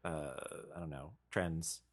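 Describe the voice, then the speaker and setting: A man's voice: rumbling low, steady tone, slow pace. A man co-hosting a podcast and chatting in a casual, conversational way. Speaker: podcast co-host.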